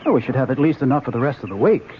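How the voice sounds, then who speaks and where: A man's voice: medium pitch, expressive, racing, natural voice. An actor playing an earnest, easily exasperated younger man on an expedition, emphatic and questioning, in an old-time radio drama. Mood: puzzled. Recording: dull vintage radio recording, faint noise.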